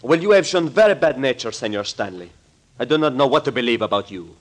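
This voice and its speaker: An actor playing a frantic, excitable young Latin man with a Spanish accent and broken English, in 1940s radio drama style. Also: mid-range voice, fast talker, natural voice. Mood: wounded, worried. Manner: impassioned broken English, melodramatic.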